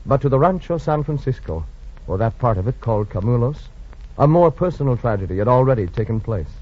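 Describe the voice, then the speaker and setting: A man's voice: mid-range voice, lively intonation, fairly quick. A man narrating a scripted 1940s radio drama in a dramatic, grandiose, solemn manner. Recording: muffled old radio recording with music.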